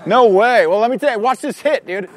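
A young man joking loudly with strangers outdoors on a beach street for a casual vlog. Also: extremely high pitch, brisk, heavy background noise. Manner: loud, joking, excitable. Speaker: vlog co-host, baseball player.

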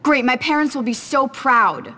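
Game role, insecure schoolgirl: upset, self-pitying, high bright voice, echoing space. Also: expressive, moderate pace, full character voice. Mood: distraught, self-pitying. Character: distraught, insecure popular teenage schoolgirl. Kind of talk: video game cutscene acting. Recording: reverberant hall.

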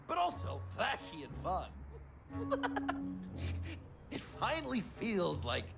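A man's voice: sits high, huge pitch swings, slow, creaky, full character voice. A man playing an unhinged, flamboyant and petulant young villain in a video game, theatrical and giggly, moving between whispers and outbursts. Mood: eager, playful. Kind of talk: video game character acting. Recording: loud music underneath.

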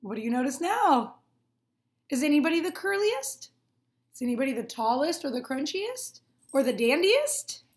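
High-voiced young woman teaching little children. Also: big pitch swings, moderate pace. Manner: explains and prompts with simple questions. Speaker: teacher reading aloud to children. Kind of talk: children's read-aloud with questions to pupils. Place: small room at home, indoors.

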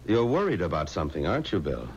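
An actor playing a confident, paternal middle-aged funeral home boss, assured and pompous, in a 1970s radio drama. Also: male voice, sits low, wildly swooping pitch, quick pace, darkish tone. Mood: curious. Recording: dull, faintly noisy 1970s radio recording.

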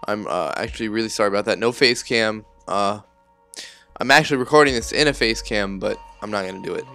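A young man with a bright, somewhat low voice talks over game footage, sounding sick and groggy. He rambles and corrects himself mid-sentence in a chatty, loose way, with faint music underneath. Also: a little fast. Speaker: gaming YouTuber. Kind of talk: casual commentary over gameplay.

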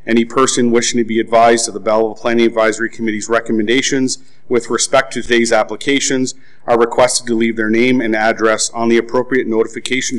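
A man chairing a public committee meeting, making formal procedural announcements at a desk microphone in a council chamber. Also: medium pitch.

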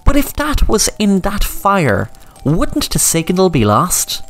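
A woman's voice: medium pitch, huge pitch swings, slow, pitched above the performer's own voice, exaggerated voice. A woman voicing a shrewd, commanding male sheriff who talks to his men in a knowing, fatherly way. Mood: probing.

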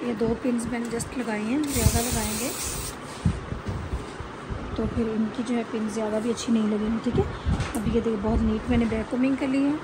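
A woman's voice: a little high, fairly even intonation, brisk, pauses often. A woman with a Pakistani accent giving an informal, instructive hairstyling tutorial as a salon instructor, often checking that listeners follow. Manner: instructive, repeatedly checks with "okay". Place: indoor beauty salon. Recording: very noisy salon room recording. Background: loud background noise.

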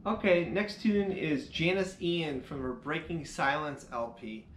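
A man's voice: a little high, animated, a little slow. A man in his fifties giving an explanatory product review with plain everyday comparisons. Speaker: audio equipment reviewer. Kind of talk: product review talk to camera. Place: living room with acoustic panels, indoors.

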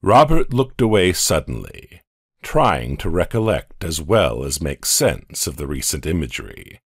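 A man narrating a horror audio comic in a slow, dramatic storytelling manner. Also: a bass voice, very animated, gravelly, husky, no room sound, clean studio sound.

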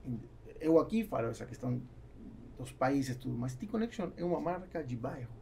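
A man in his forties, a native Spanish speaker with a South American accent, talking haltingly as a guest in an interview. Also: medium pitch, sing-song, gravelly, dark tone, slight background noise. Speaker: business owner, podcast guest. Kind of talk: casual interview chat. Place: small foam-treated podcast studio. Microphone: podcast mic on boom arm.

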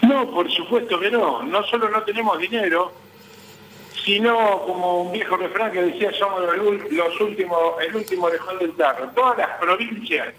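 Argentine male interviewee, high and sharp-edged, insistent spokesperson delivery, roomy call sound. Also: moderate pace, background noise. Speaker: guest, transport business association vice president. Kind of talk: live news interview by phone.

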